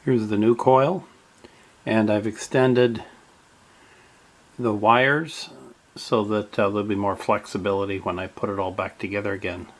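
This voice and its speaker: A man narrating a step-by-step radio repair in a halting way, with many fillers. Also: lowish voice, steady tone.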